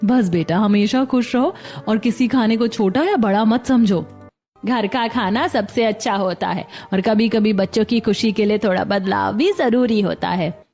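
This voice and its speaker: A woman's voice: medium pitch, normal speed, a little mellow, faint music behind, lightly acted. A woman playing a cautious, bossy old grandmother who speaks plainly and admonishes her family in a Hindi cartoon story. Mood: gently advising.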